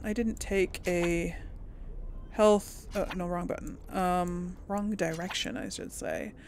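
Slow-talking woman gamer with vocal fry. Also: on the low side, fairly even intonation, a little mellow, a little hiss or hum. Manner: informal, musing aloud to herself. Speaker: host of a gaming playthrough series. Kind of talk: casual let's play commentary, chatting to viewers.

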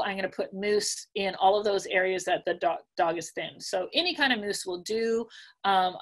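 A middle-aged woman teaching dog grooming over a webinar video call from home, chatty with many fillers and clearing her throat as she loses her voice. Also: mid-range voice, a bit bright. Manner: chatty, many ums and likes, practical. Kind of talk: casual webinar teaching, answering questions. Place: home room. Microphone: laptop webcam video call.

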